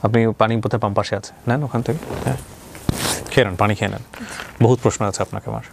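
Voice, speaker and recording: A man's voice: lowish voice, fairly even intonation, fast talker. A man with a Bangladeshi accent hosting an interview, putting direct, probing questions. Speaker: interviewer, show host. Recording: clearly noisy background.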